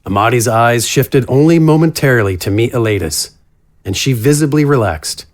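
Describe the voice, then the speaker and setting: A man's voice: mid-range voice, lively intonation, moderate pace. A man narrating a scripted fantasy story as its narrator.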